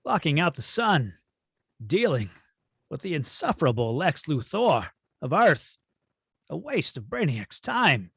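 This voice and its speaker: A man playing an imperious, cold alien supervillain in a script read, husky and pompous, with drawn-out, menacing delivery. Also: fairly high pitch, very animated, fairly bright tone, dry recording, full character voice. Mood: gloating menace. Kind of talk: amateur table read of a film script.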